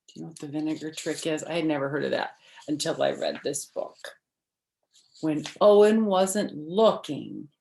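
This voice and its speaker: An older woman reading a picture book aloud to children, expressive and deliberate, with friendly asides to young listeners. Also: deep voice, gravelly. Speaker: storyteller for children. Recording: echoey room.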